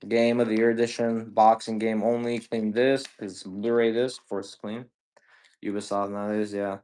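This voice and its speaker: A man's voice: fairly low pitch, flat delivery, normal speed, darkish tone. A man in his twenties chatting casually and profanely while selling on a livestream, rambling with lots of slang. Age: 20s. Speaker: livestream seller.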